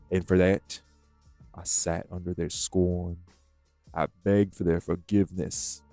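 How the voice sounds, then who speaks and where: A man playing a bitter, guilt-worn vampire sire in an audio drama, with a gravelly voice and a deliberate, emphatic, accusing delivery. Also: low voice, darkish tone, dry recording, heavily acted. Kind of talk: dramatic audio roleplay acting.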